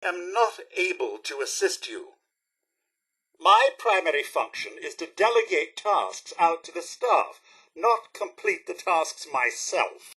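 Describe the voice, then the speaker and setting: A fussy male robot butler from a video game, with a bright, high voice. He speaks prim British English in swooping, theatrical phrases, formal and deferential even when exasperated. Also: measured pace, breathy edge, heavily acted, poor audio. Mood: fussy, impatient. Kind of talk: video game character voice acting.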